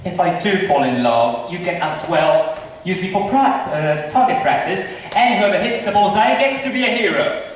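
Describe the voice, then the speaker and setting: A man's voice: high voice, animated, normal speed. A man acting a witty, scornful confirmed bachelor in an amateur stage comedy, with a theatrical, declaiming manner. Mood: defiant. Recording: echoey hall, distant mic, noisy.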